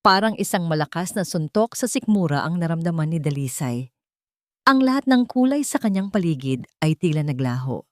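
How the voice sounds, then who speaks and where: Isang babaeng nagsasalaysay ng madramang kuwento mula sa nakasulat na iskrip. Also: female voice, low-pitched, animated, normal speed, darkish tone, no echo, studio quality. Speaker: story narrator. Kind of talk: scripted dramatic story narration.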